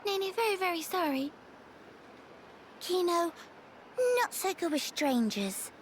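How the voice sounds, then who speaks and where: A woman voicing a small, eager, childlike game creature with excitable, quirky broken speech over music. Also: very high-pitched, slow pace, slight background noise, heavily acted. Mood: apologetic. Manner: excitable, third-person broken phrasing. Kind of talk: video game cutscene acting.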